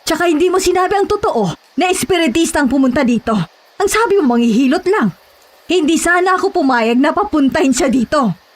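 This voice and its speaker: A woman's voice: sits high, animated, fairly quick. A woman playing a devout, disapproving wife and mother, scolding and insistent, in a horror audio drama. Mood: reproachful.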